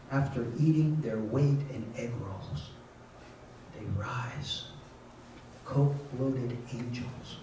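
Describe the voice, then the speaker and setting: An older man reading his poems aloud in a measured, wry way, with casual asides between them. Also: medium pitch, mellow, slight background noise. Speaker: poet reading his work. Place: lecture room at a reading series. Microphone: handheld mic on a stand, close. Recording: echoey room, mic at a distance.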